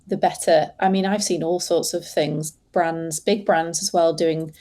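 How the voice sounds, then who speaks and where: A woman's voice: low-pitched, fairly even intonation, unhurried, mellow. An English woman being interviewed as a social media manager, talking casually with many fillers and restarts. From England.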